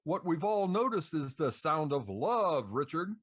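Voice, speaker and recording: A man's voice: a little high, sing-song, unhurried, no room sound, clean studio sound. A man hosting a podcast with scripted banter and introductions, in a playful DJ style. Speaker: podcast host.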